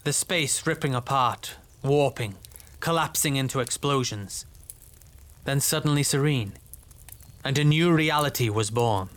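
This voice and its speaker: A man's voice: mid-range voice, a little slow, fairly bright tone, close to an everyday voice. A man playing an earnest, self-assured army lieutenant with a formal, ironic turn in a fantasy story. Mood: distant, solemn. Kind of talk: dramatised fantasy story dialogue.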